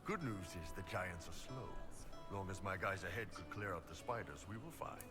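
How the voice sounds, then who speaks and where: Deep-voiced, gruff mercenary warrior. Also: male voice, lively intonation, normal speed. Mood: wry, matter-of-fact. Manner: blunt, laconic storytelling. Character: big gruff horned mercenary ex-spy warrior, blunt and wry. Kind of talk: video game dialogue. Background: game music.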